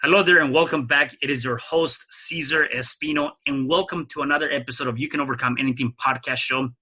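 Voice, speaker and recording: A man's voice: mid-range voice, steady tone, fast, sharp tone, husky, soft background music. A man hosting a podcast interview, upbeat, often repeating words as he starts a thought. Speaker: podcast host.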